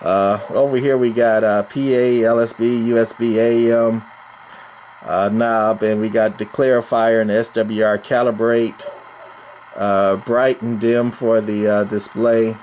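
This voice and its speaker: A man giving a casual, hesitant equipment demonstration as a hobbyist presenter, often pausing with ums. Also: fairly low pitch, flat delivery, darkish tone. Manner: hesitant, halting delivery with frequent ums and pauses. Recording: noticeable background noise.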